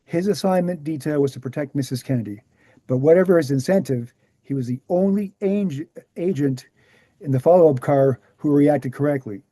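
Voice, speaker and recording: Man acting as a mellow, dark-voiced earnest author-lecturer. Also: fairly high pitch, moderate pace, no echo, close to an everyday voice. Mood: earnest, explanatory. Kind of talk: screenplay table read, explanatory lecture.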